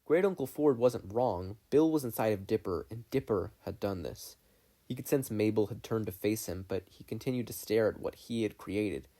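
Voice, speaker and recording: A man with a low, dark-toned voice narrates a piece of fiction. His delivery is that of an audiobook reader, with a relaxed, mellow sound. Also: normal speed. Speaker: podfic reader. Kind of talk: scripted story narration.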